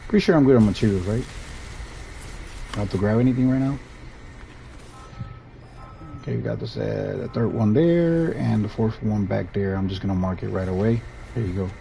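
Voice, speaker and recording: Easygoing Puerto Rican man with a mellow voice. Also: on the low side, normal speed, gravelly, pauses often, slight background noise, rough recording. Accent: Puerto Rican Spanish. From Puerto Rico. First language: Spanish. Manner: easygoing, rambling, restarts sentences. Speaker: streamer. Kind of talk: casual gameplay commentary.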